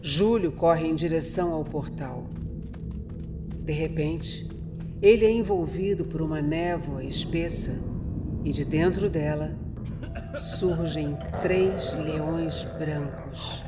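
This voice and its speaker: Female narrator for a horror radio serial: scripted, unhurried delivery, medium pitch, music underneath.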